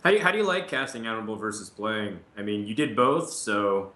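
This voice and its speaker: Bright-voiced man in an echoey room. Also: on the low side, lively intonation, a little fast. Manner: teasing, asks questions. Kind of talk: casual podcast chat.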